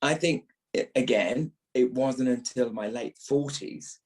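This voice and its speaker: British male, early 50s, voice-trained actor, medium pitch, reminiscing podcast guest. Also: measured pace, a little mellow. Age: early fifties.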